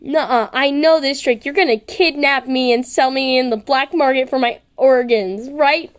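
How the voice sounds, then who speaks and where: A woman's voice: high voice, normal speed, lightly acted. A woman voicing a brash, suspicious eleven-year-old girl in an amateur story reading, blunt and dramatic. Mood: cocky. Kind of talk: amateur audiobook character voice.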